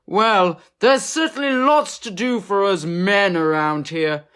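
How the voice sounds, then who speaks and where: A woman's voice: medium pitch, slow pace, fairly bright tone, clean tone. A woman playing a stern, formal upper-class father.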